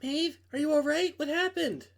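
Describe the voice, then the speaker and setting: Man doing a steady, caring woman, pitched up. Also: moderate pace, creaky, full character voice. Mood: calming. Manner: reassuring, casual, straightforward. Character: caring, protective, steady female friend. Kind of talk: visual novel character voice.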